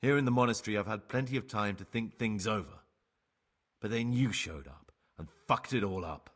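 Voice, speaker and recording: An actor playing a remorseful ex-bandit turned novice monk in a game cutscene, husky, measured and persuasive. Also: male voice, fairly low pitch, fairly bright tone. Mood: persuasive, pragmatic. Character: remorseful young ex-bandit novice monk, sly pragmatic bargainer. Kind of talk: dramatic game cutscene acting.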